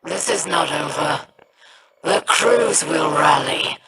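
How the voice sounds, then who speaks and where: Slow, menacing alien pirate boss, loud hiss over the audio. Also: medium pitch, animated, recorded from a distance. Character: defiant hostile alien pirate captain. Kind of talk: video game character dialogue.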